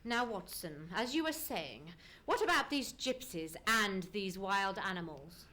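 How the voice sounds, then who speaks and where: A woman playing a brisk, commanding Victorian detective with formal, precise questioning in an old-time radio mystery. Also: mid-range voice, expressive, sharp tone. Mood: probing. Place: theatre stage, live radio play performance.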